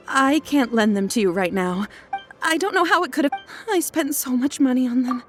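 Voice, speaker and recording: A flustered, anxious young merchant. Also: female voice, high voice, measured pace, faint music behind. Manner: anxious, stammering, apologetic. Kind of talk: game cutscene voice acting.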